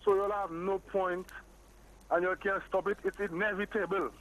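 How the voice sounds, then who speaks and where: A man's voice: high-pitched, level tone, moderate pace, a bit bright. A man with a Saint Lucian Caribbean accent phoning in to a show, talking casually with patois. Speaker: caller to a live show. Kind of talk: casual patois-flavoured talk. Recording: slightly noisy phone call.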